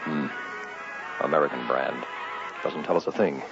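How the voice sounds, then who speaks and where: Curt, troubled radio-drama lead with a very low, gravelly voice, muffled old recording with music behind. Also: male voice, normal speed. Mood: tense, urgent. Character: troubled man, tense, curt, fearing he is hunted.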